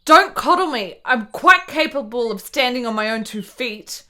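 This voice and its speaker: Actress as sickly young noblewoman, higher voice, intense, brooding, ornate period radio-play diction. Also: expressive, moderate pace, pushed and bright, no echo, studio quality. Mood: bitter, defensive. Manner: formal, ornate, dramatic delivery. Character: ailing, bitter, melancholy young Italian gentlewoman, intense and morbid. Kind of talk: period radio drama acting.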